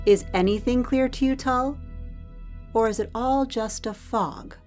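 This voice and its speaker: A woman's voice: a little high, unhurried, a little mellow, lightly acted. A woman playing a guarded, weary arena worker in an audio drama, blunt and dry as she presses for answers. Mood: skeptical, pressing. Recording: music under the voice.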